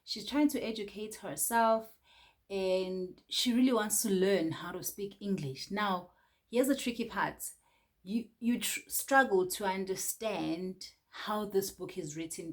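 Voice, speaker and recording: A woman's voice: mid-range voice, unhurried. A Nigerian woman reviewing a book in a casual chat, hesitant, with many fillers and restarts.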